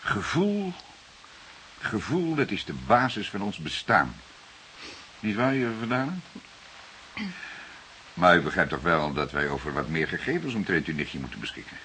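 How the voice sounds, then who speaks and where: Calm senior detective, low-pitched, bright edge, musing and probing, crime radio drama, hissy recording. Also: male voice, heavily acted. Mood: patient, questioning. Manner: deliberate, hesitant, musing questioning. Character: calm, patient senior male police detective, gently probing. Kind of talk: naturalistic crime drama acting. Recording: hissy recording, faint noise.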